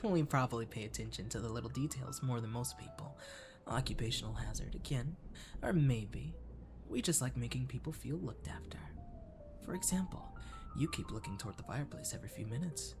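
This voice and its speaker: Catboy cafe waiter , male performer, mid-to-high pitched for ASMR comfort roleplay: gentle, flirty, whispery, music underneath. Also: animated, fairly quick, natural voice. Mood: gentle, caring. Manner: soft-spoken, drifting into whispers.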